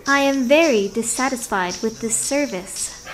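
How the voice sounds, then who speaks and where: Woman acting a brash high-voiced punk girl. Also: measured pace, close to an everyday voice, low-quality recording. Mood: indignant. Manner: demanding, sneering. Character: brash, manic, mocking young punk woman customer. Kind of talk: audio drama acting.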